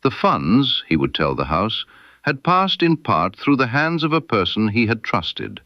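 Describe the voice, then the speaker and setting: A man reading a scripted detective audiobook as Dr. Watson, in a measured, dramatic storyteller's manner. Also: fairly low pitch, very animated, no room sound, muffled recording. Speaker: audiobook narrator.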